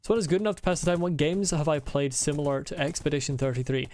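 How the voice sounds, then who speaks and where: A man's voice: on the high side, fairly even intonation, quick pace, a little mellow, slight background noise. A man with a mild Scottish accent, chatting casually while streaming a game, rambling and swearing bluntly as he rants. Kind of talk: casual live-stream chat while gaming.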